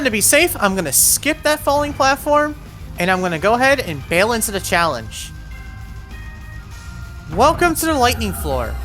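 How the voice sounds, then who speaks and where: A woman's voice: mid-range voice, a bit bright. A woman giving an instructional voiced game walkthrough, guiding the player step by step with words of caution. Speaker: video game guide host.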